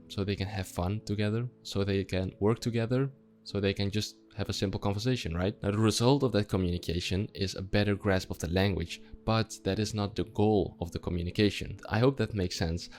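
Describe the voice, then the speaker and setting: Man, somewhat low voice, casual vlog talk, thinks aloud and asks himself questions. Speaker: language-learning vlogger. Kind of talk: casual vlog monologue to camera.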